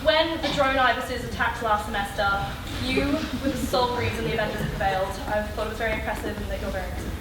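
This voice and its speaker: A high-pitched, smitten superhero heroine acted by a woman in a comic stage play, gushing and awkwardly nervous. The voice sounds far from the mic in a very echoey, noisy hall. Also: fairly even intonation, fast, lightly acted. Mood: admiring. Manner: awkward, flustered. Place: stage in a hall, filmed from the audience.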